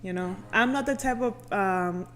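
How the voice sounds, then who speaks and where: A woman with a medium-pitched voice talks in a casual, conversational podcast style. Her sentences are loose and full of "like" and "you know". Some background ambience sits under her voice. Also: normal speed, a little hiss or hum. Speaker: radio personality, podcast guest.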